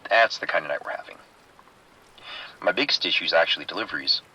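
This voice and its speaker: Guy doing casino kitchen boss, low gravel-edged husky voice, harried, wry, good humored, audio drama. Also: fast talker, sharp tone, full character voice, low-quality recording. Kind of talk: scripted horror audio drama radio exchange.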